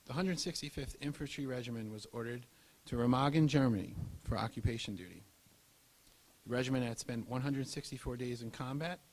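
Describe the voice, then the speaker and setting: A man narrating a scripted historical account in a formal, measured manner. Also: mid-range voice, darkish tone, low-quality recording.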